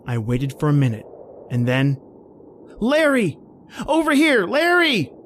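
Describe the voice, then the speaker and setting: A man's voice: high-pitched, huge pitch swings, measured pace, a bit bright, slight background noise, full character voice. A man playing a haunted, panicky man stranded in polar cold, telling his ordeal in an old-time radio horror style. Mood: desperate shouting. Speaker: podcast host and actor.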